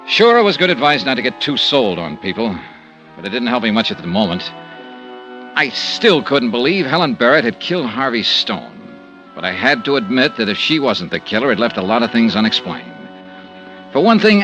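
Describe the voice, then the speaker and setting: Bright-voiced hard-boiled radio detective. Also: male voice, medium pitch. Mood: wry narration. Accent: American. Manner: wry, insistent, hard-boiled delivery. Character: tough, dogged male insurance investigator with a conscience. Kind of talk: 1950s radio detective drama acting. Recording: noisy old radio drama recording.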